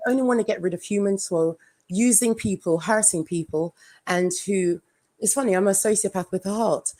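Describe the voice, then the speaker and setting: A woman from Jamaica with an English accent, chatting bluntly as a guest on a casual live stream, with dark humor. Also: mid-range voice, brisk.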